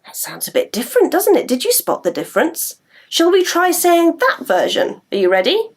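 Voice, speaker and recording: A British woman telling a story to young children in an animated way and coaxing them to join in. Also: very high voice, normal speed.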